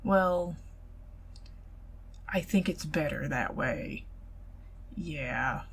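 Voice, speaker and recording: A middle-aged woman with a Southern US accent, speaking softly and partly in whispers in an ASMR date roleplay. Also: low-pitched, extremely slow, gravelly, slight background noise. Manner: intimate, soft-spoken, giggly, partly whispered.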